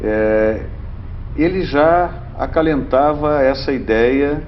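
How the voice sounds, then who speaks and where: A man's voice: medium pitch, takes it slowly, slight background noise. A man hosting a TV interview show, speaking, chatty and full of tag questions. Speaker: TV host. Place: TV studio. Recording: roomy, dull TV audio.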